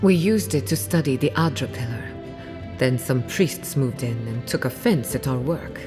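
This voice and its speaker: Video game companion: confident female researcher, low register, dry wit, guarded, over music. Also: lively intonation, normal speed. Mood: determined. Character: driven, wry female scholar, guarded about family loss. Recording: music playing behind the voice.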